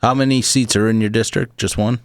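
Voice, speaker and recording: A man hosting a podcast, asking questions in a relaxed, casual way with hesitant fillers. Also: on the low side, a little fast, clean tone, no echo, clean studio sound. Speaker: podcast host. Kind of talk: casual interview.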